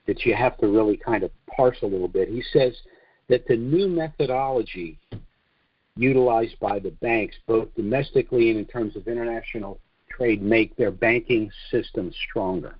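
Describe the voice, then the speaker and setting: Deliberate American man on a dull conference line. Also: medium pitch, husky, no echo. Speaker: conference call host and financial promoter. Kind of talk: monologue briefing on a conference call. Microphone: conference call line. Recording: dull, lo-fi conference call audio.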